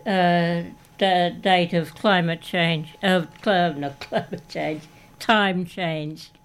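Slow-talking woman co-host. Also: on the low side, steady tone, smooth. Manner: hesitant, dry teasing. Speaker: radio co-host. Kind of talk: casual radio chat.

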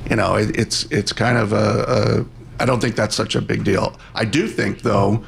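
A man's voice: on the low side, fairly even intonation, normal speed, creaky, a little hiss or hum. A man giving market views in an interview, hesitant with many restarts. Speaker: market strategist, guest. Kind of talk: interview answers.

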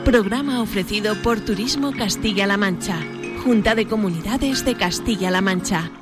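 A woman's voice: medium pitch, normal speed. A woman with a Spanish accent from Spain, announcing a scripted radio travel promo. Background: music bed.

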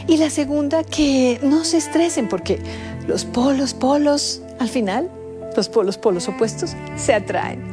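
Gushing high-voiced lovelorn agony aunt, acted by a woman. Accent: Colombian. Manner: gushing, theatrical. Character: syrupy female love-advice agony aunt, radio sketch. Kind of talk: comedy radio advice segment. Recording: music playing behind the voice. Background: music.

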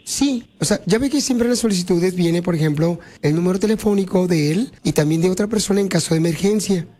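A man's voice: sits high, quick pace. A man hosting a radio prank call, putting on a stiff official tone with a straight face. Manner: feigns a stiff official tone, deadpan.

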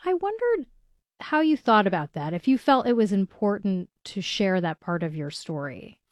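A woman's voice: medium pitch, big pitch swings, moderate pace, a little mellow, no echo, studio quality. A woman hosting a radio interview, asking curious, probing questions. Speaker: radio show host.

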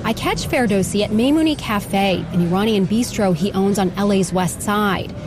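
An American woman reports the news in a scripted narration. Her voice sits at a medium pitch, and music plays clearly behind her. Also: moderate pace.

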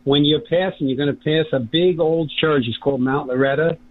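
A man's voice: on the high side, fairly even intonation, brisk. A man calling in to a radio show, plain and matter-of-fact. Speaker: caller. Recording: dry phone line.

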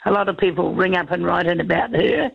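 A woman calling in to a radio show, chatting casually with a croaky voice and many repeated restarts. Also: lowish voice, fast talker. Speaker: caller. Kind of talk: casual radio call-in chat. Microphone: telephone. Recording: dull lo-fi phone line.

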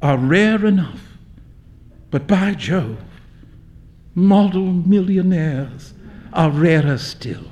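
A man voicing a jovial, teasing young English gentleman in a theatrical story reading. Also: sits high, expressive, slow, long pauses, a little hiss or hum. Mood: amused wit. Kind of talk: dramatic literary story reading.

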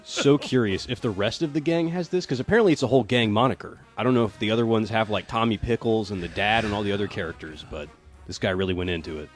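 Low-voiced man reading news items. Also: quick pace, soft background music. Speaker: podcast co-host reading news. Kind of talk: casual news rundown chat.

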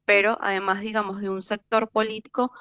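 A woman's voice: medium pitch, level tone, brisk, fairly bright tone, smooth, no echo. Venezuelan woman reporting over a dull line. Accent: Venezuelan Spanish. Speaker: journalist. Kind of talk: reporting in a news interview.

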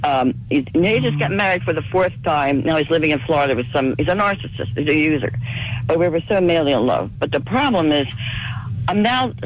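A woman in her seventies calling in to a radio show, hoarse from a cold, talking fast and rambling from one thing to the next. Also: deep voice, expressive, a little hiss or hum, poor audio. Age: 70s. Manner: rapid, rambling and chatty. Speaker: caller. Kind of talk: call-in radio conversation.

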